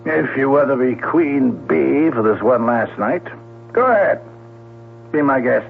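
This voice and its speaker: An imperious middle-aged male newspaper boss in a vintage radio drama. He is curt and scornful, with a creaky edge and big swings in pitch. The recording is tinny and a little noisy. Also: lively intonation, measured pace. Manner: blunt, curt, domineering. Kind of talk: 1970s radio drama acting.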